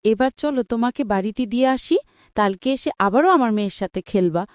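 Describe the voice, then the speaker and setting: A woman voicing a kindly woman from the moon in a cartoon, soft and reassuring. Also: on the high side, animated, a little fast, dry recording, clean studio sound, natural voice. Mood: gentle. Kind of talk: cartoon voice acting.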